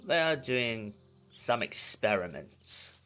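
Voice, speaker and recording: A man playing a gruff, guarded senior groundskeeper in an audio drama. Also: medium pitch, sing-song, takes it slowly, sharp tone, faint music behind, heavily acted. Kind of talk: fan audio drama acting.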